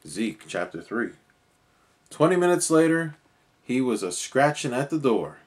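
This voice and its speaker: A man's voice: mid-range voice, very animated, unhurried, slight background noise. A man narrating a children's story expressively as a storyteller.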